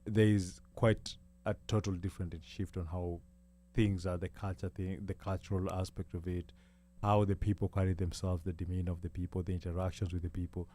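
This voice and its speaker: A man's voice: low voice, flat delivery, fairly quick, mellow. A man talking as a panelist on a studio show, rambling and chuckling, mixing Swahili into English. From Kenya. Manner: rambling, laughs between phrases, mixes Swahili. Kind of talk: casual panel chat.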